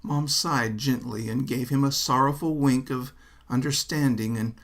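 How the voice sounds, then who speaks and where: A man's voice: mid-range voice, fairly even intonation, normal speed, creaky, fairly bright tone. A man narrating a scripted first-person story in a wry, wordy, theatrical manner. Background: plucked guitar music.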